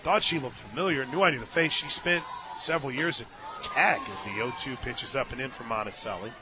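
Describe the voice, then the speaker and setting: A man doing live radio play-by-play of softball, mixing excitable calls with chatty asides. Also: medium pitch, brisk, crowd noise, noisy background. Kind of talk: live softball commentary mixed with casual chat. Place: stadium radio booth.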